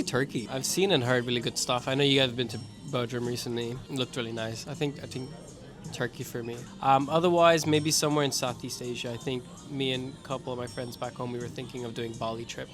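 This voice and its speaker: A young man in his twenties answers questions in a loose, joking way, pausing to think as he goes. His voice is mid-pitched for a man. Music plays clearly behind him. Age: 20s. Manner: relaxed, joking, hesitant with fillers. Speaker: interviewee, birthday guest.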